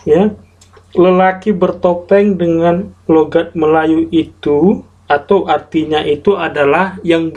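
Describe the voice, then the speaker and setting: A man teaching a translation class online, explaining grammar slowly and step by step with English example sentences. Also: a little high, a little mellow, slight background noise, rough recording.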